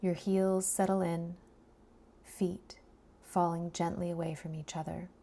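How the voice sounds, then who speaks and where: A woman guiding a body scan meditation in a soft, soothing, breathy voice that often trails into a near-whisper, leaving long pauses between phrases. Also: on the low side, flat delivery. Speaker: meditation guide.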